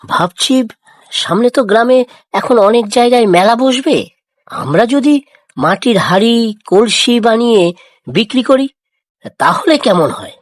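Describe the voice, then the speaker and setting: A woman voicing a kind, patient village farmer father in a moral cartoon, gently coaxing and reassuring. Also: on the high side, lively intonation, measured pace, pauses often, no echo, clean studio sound. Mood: eager, planning. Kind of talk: Bengali moral cartoon dialogue.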